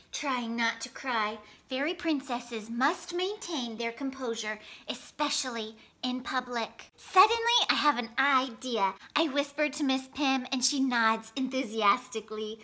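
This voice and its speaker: High, bright woman reading a storybook. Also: unhurried, hardly any pauses. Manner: expressive, clearly phrased for children. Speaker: storyteller reading children's books aloud. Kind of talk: animated children's storybook read-aloud. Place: living room at home, indoors.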